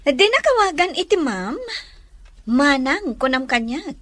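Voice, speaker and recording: Actress as a very high-pitched, clingy young Ilocano woman. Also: sing-song, slow pace, a bit bright, no echo, heavily acted. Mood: friendly. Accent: Filipino, Ilocano. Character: well-off young city woman, eager and clingy in love. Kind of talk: Ilocano radio drama acting.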